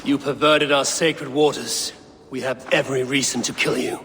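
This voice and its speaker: A stern, kingly older Norse god acted by a man for a game cutscene. He speaks at a middling pitch with solemn authority. His words ring out in a long hall reverb over audible background noise. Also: fairly even intonation, moderate pace, fairly bright tone, natural voice. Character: Odin, grave commanding older male Norse god-king. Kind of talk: video game cutscene acting.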